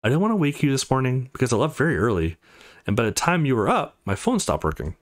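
A man's voice: mid-range voice, very animated, fast talker, natural voice. A man voicing an apologetic young male student in a visual novel, sheepish and reassuring.

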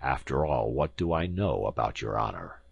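Man playing a very deep, pompous, scornful professor. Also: normal speed, husky, no echo, heavily acted. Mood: curt, dismissive. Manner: pompous, lecturing. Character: arrogant, booming, pompous male professor, scornful and imperious. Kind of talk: audiobook character acting.

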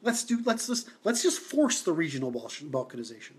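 A man from Seattle hosting a livestream, answering comments in a halting, blunt way with many fillers and restarts. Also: on the high side, big pitch swings. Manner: blunt, opinionated. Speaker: livestream host.

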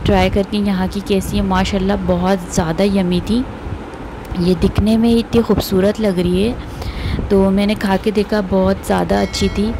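A woman's voice: mid-range voice, little pitch movement, normal speed, noisy background. A woman, casually narrating her family outing for a vlog and recommending the place. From Pakistan. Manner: chatty, recommending, pious phrases. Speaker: vlogger.

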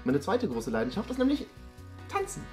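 A man's voice: high-pitched, huge pitch swings, fairly quick, a little mellow. A man, improvising a comic role-play in a theatrical, repetitive, exaggerated way. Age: 20s. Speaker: performer in an improvised date sketch. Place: indoor studio set at a dining table. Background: background music.

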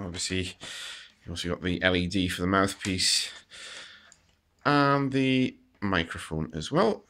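A man giving a casual, halting demonstration of a homemade gadget. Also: sits low, fairly bright tone, slight background noise. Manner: hesitant, halting explanation. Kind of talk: casual unscripted product demonstration. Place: indoors at a wooden table.

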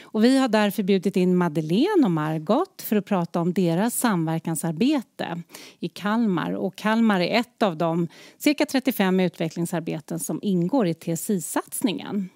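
A woman's voice: lowish voice, animated, a little mellow, no room sound, studio quality. Woman moderator reading a scripted introduction. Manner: measured, reading from a tablet. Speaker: seminar moderator. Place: studio with wooden slat wall.

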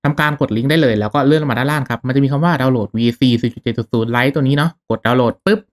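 A man hosting a casual software tutorial, talking through each step in a polite, instructional manner. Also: medium pitch, fast talker.

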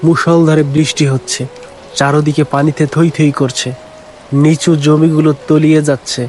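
A man giving scripted narration for a cartoon story in a steady, descriptive way. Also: fairly high pitch, moderate pace, slight background noise.

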